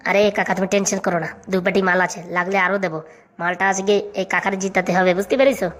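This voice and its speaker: A woman's voice: medium pitch, flat delivery, fast, natural voice. A woman playing a cheeky, meddling young village lad in a comic cartoon, talking with mock formality. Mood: conniving.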